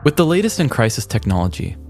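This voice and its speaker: A man's voice: medium pitch, sing-song, fast, darkish tone, faint music behind. A man hosting a podcast, reading scripted comedic narration in a mock-dramatic, tongue-in-cheek storytelling manner. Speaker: podcast host.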